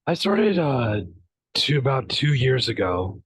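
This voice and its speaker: A young man from the United States speaks as a podcast guest, relaxed and a little hesitant, filling his pauses with ums and uhs. His voice sounds close and dry, with no room around it. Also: medium pitch, slightly rough, dry recording, poor audio. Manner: hesitant, laid-back, many fillers. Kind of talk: casual interview chat.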